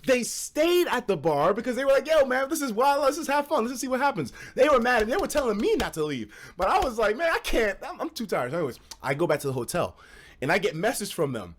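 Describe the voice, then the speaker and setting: Young American male streamer, high voice, slangy casual storyteller who swears freely. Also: fast talker. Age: young adult. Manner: animated, slangy and profane, acts out quoted dialogue. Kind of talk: casual storytelling to a live chat.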